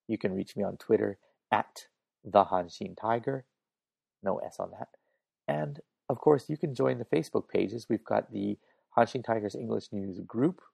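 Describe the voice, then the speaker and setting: A man hosting a casual solo podcast, hoarse and raspy, chatting informally and thinking aloud on a makeshift mic setup. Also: fairly low pitch, darkish tone, no room sound. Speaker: podcast host. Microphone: makeshift setup without proper recording gear.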